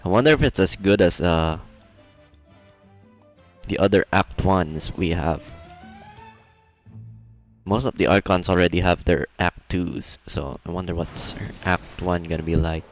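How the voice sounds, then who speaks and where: Deep voiced guy streaming a game, chatting casually, bit of music in the back. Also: unhurried, pauses often. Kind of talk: casual live game commentary, reading dialogue aloud.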